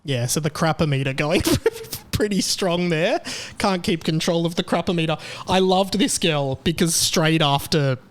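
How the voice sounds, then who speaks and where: A man's voice: fairly high pitch, moderate pace, a little hiss or hum. A young man hosting his own podcast, chatting casually with sarcasm and frequent laughter. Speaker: podcast host. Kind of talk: casual solo chat.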